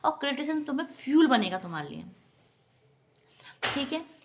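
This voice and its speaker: A woman's voice: high voice, big pitch swings, normal speed, background noise. A woman giving an earnest, instructive motivational talk directly to her viewers. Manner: earnest, instructive, often addresses listeners as friends. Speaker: self-help vlogger.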